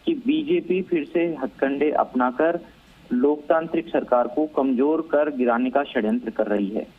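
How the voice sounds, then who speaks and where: An Indian man reporting live by telephone as a news correspondent, analysing events in deliberate, halting phrases. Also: mid-range voice, breathy edge. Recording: narrow, tinny phone line.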